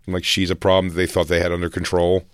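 A man's voice: low voice, completely flat delivery, fast talker, no room sound, studio quality. A man co-hosting a podcast, rambling wryly through stories and laughing at his own jokes. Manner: rambling, wry, trails off and laughs. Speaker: podcast co-host. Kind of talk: casual comedic chat.

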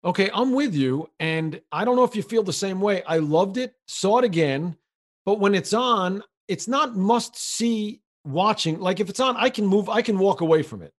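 A man hosting a podcast, eagerly firing quick questions back and forth with his guest. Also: high-pitched, expressive. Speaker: podcast host. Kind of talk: podcast conversation.

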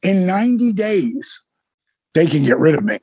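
A man in his sixties with a husky voice, a guest telling his story in a blunt, emphatic way with some swearing. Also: high voice, sing-song, moderate pace, warm tone, no echo, highs cut off. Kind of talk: casual storytelling in an interview.

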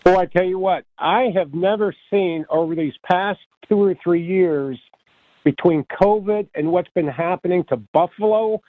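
A man from Ohio calling in to a sports radio show, chatting in a rambling, halting, friendly way over a phone line. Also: high voice, dry recording. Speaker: radio caller. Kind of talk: phone-in chat.

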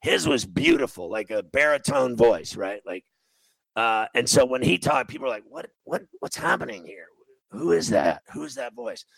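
A man's voice: medium pitch, animated, moderate pace, fairly bright tone, no echo, poor audio. A man, a radio host telling a long rambling, self-mocking story in a grating voice. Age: 50s. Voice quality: gravelly, grating voice. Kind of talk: storytelling monologue on a radio show.

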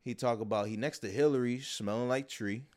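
A man's voice: mid-range voice, fairly even intonation, brisk, darkish tone. A man hosting a podcast in a casual conversational style, talking in a long-winded way that circles back and repeats words. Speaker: podcast host.

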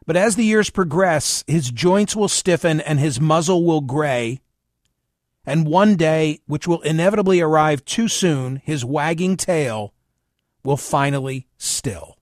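A man hosting a radio talk show, reading news aloud and commenting conversationally with emphatic, dramatic pauses. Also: fairly high pitch, expressive, dry recording, studio quality.

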